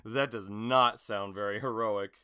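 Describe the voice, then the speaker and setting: Casting: brash, spirited teen superhero student for an anime dub, forceful and bright, punchy delivery, performed by a man. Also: fairly low pitch, steady tone, measured pace, clean tone. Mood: teasing, disapproving. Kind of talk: anime dub audition acting.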